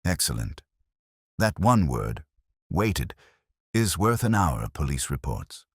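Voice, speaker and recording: A cool-headed male detective as a man narrator plays him with a very deep, husky voice. He speaks in precise, declarative lines that swing theatrically in pitch, as in a mystery audiobook. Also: sing-song, slow pace, dry recording, clean studio sound, exaggerated voice. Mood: keen, analytical.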